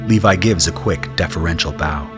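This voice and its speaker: A man's voice: deep voice, normal speed, music in the background. A man narrating a scripted audio drama in the first person.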